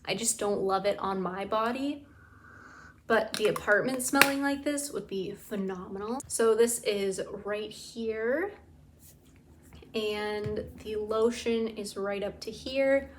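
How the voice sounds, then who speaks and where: A woman vlogging in a casual, chatty way about bath products, her voice tired and a bit raspy from talking. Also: medium pitch, slow, noisy background. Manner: enthusiastic, gushing, lots of "like". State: tired, slightly raspy throat. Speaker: beauty and scent vlogger. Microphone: camera mic.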